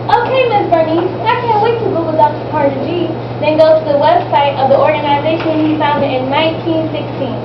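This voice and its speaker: A woman's voice: high voice, brisk, hardly any pauses, close to an everyday voice. A female performer playing an eager, outspoken know-it-all schoolgirl, reciting in an amateur stage play. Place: indoor room at a public library. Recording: distant, echoey, muffled, noisy room recording.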